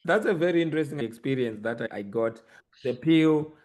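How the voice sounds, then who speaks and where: A man's voice: a little high, brisk, darkish tone. A man with a Zimbabwean accent hosting a conversational podcast discussion about everyday matters. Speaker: host.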